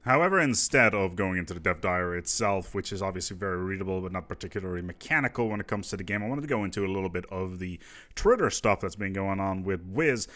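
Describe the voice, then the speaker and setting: A man's voice: deep voice, lively intonation, fast talker, a bit bright. A man presenting casual gaming news commentary in an informal, conversational, musing manner.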